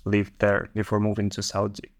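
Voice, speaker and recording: A man's voice: lowish voice, completely flat delivery, normal speed, dry recording, studio quality. A man co-hosting an interview podcast, asking curious questions with a light joking touch. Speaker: podcast co-host.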